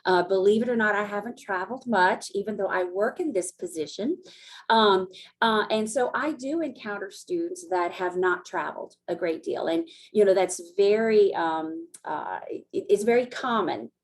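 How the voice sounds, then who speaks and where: A woman's voice: medium pitch, normal speed. A woman from Louisiana hosting an information session as a study abroad coordinator, speaking casually with many fillers and restarts. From Acadiana, Louisiana. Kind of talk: hosting a recorded info session.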